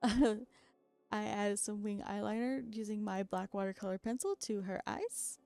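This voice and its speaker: A woman talks through her crafting in a relaxed, chatty voice-over with frequent self-deprecating laughs. She is worn out from a sleepless night, so her voice is creaky and keeps cracking. Faint background music plays beneath her. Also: medium pitch, a little slow. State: very tired after staying up all night. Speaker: doll customizing creator. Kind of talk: casual craft voice-over narration.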